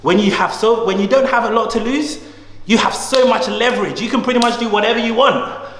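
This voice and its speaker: A man's voice: extremely high pitch, steady tone, brisk, a bit bright, a little hiss or hum. Emphatic slangy man in an echoey room. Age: 30s. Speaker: guest, entrepreneur. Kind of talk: casual conversation. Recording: echoey room with long reverb.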